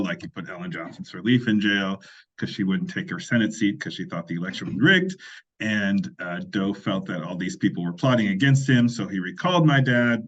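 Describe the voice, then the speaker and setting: A man's voice: lowish voice, highs cut off. A man in his forties from Liberia, a podcast guest telling his life story in a reflective, self-deprecating way, often restarting his sentences. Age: 40s. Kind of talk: casual interview conversation.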